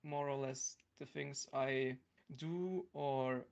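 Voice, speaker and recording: A young man chatting casually as a podcast guest, in a hesitant, halting manner. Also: mid-range voice, creaky, a little mellow, highs cut off. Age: young adult. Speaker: podcast guest, university student. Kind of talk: casual chat.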